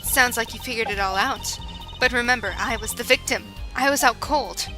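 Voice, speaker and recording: A woman's voice: a little high, moderate pace, music in the background, close to an everyday voice. A woman voicing a guarded young female witness. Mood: defensive. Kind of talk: fan voice acting of a game.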